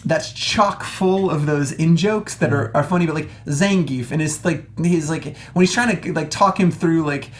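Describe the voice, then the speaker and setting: A man's voice: a little high, normal speed, few pauses. A man chatting casually on a film review panel, rambling through his points, restarting often and checking in for agreement. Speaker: talk show panelist.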